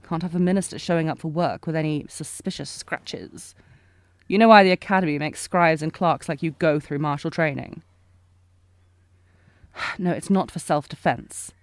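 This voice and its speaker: A sardonic knight bodyguard played by a woman from a fantasy audio roleplay. Her female voice sits a little low, in a dry, echo-free recording. She is taunting and commanding, fiercely protective and intense. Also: normal speed, close to an everyday voice. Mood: stern, menacing. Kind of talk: scripted fantasy audio roleplay, acted one-sided dialogue.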